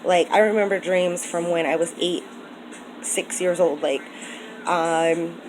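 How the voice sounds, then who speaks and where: An earnest woman from the United States speaks to her viewers in a low-leaning voice, circling back with ums and restarts. Her talk is loose and personal, and a faint hiss sits under the recording. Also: fairly even intonation, slow. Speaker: vlogger. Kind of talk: casual personal vlog talk.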